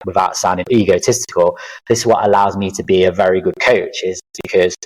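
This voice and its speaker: Deep-voiced thirties man, earnest and reflective. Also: fairly even intonation, a little fast, a little mellow, rough recording. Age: 30s. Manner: reflective, earnest, lists experiences with many fillers. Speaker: podcast guest, life coach. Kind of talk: casual interview chat.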